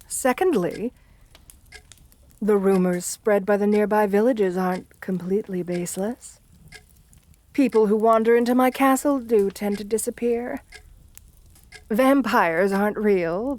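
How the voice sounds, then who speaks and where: A woman playing an assertive, seductive and menacing aristocratic countess in an ASMR roleplay, speaking softly with coaxing, condescending endearments. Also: mid-range voice, a little slow, pauses often, lightly acted. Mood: calm menace.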